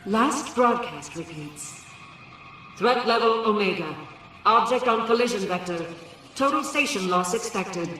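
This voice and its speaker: A high-pitched, duty-bound station computer, troubled beneath formal, clipped phrasing. The synthetic intercom voice is drenched in echo, with music behind it, as in a sci-fi game. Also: male voice, animated, measured pace, pushed and bright, heavily acted. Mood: flat, official. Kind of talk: video game character acting. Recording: very echoey, distant, music underneath.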